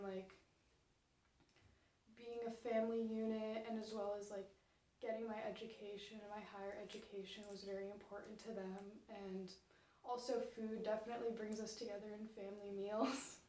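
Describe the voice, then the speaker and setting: A woman's voice: medium pitch, little pitch movement, normal speed, a little mellow. A young woman, a college student, answering interview questions casually with many fillers. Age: young adult. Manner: casual, frequent "like" and "um". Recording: echoey room, voice from far off.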